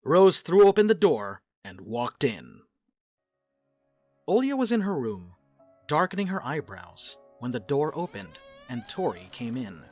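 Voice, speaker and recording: A man's voice: a little high, very animated, unhurried, darkish tone, no room sound, soft background music. A man narrating a dramatic reading as a scripted storyteller.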